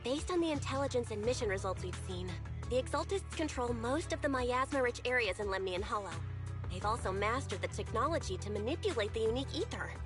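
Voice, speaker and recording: A woman acting high-voiced earnest young envoy. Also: expressive, quick pace, music in the background, lightly acted. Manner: polite, earnest, explanatory.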